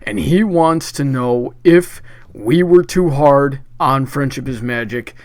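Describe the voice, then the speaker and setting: Brief: male host, mid-pitched, friendly and rambling, dry close sound. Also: slow pace, a little mellow, dry recording. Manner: chatty and upbeat, frequent "uh" restarts. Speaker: debate livestream host. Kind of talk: casual hosting chat.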